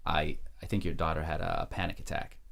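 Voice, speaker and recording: An actor playing a nervous, placating hospital doctor with a hesitant, stammering delivery. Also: male voice, very deep voice, fairly even intonation, fast talker, creaky, fairly bright tone, full character voice. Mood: uneasy, reassuring.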